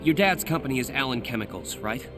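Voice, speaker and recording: An actor with an American accent playing an earnest, youthful, slightly hesitant young superhero in a video game. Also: male voice, medium pitch, animated, quick pace, over loud music, natural voice. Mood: determined. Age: young adult. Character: earnest young male superhero with a secret identity. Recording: game audio with clear background noise.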